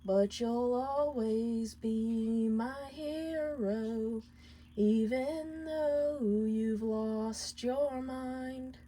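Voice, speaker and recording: Woman as a heartbroken imp, singing slowly. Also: fairly high pitch, mellow, soft background music, pitched above the performer's own voice. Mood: mournful singing. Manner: slow, drawn-out singing.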